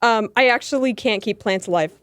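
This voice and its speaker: A young woman with a deep voice co-hosting a radio show, playful and self-deprecating in casual chat. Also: fairly quick, no echo. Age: young adult. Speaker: radio co-host. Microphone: studio mic.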